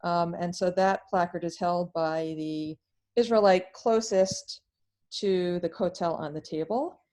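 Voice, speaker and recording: A woman's voice: lowish voice, a little slow. A woman who heads a middle school, presenting plainly on a video call with some ums. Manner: plain, steady, explanatory, um fillers.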